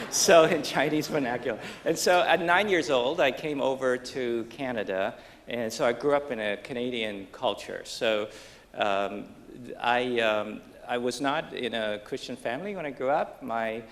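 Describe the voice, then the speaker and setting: Halting, gravelly man telling his story. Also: mid-range voice, a bit bright. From Taiwan. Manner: halting, many restarts and fillers, self-deprecating humor. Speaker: business professor, panel guest. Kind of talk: personal story on a panel. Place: lecture stage under bright lights before an audience.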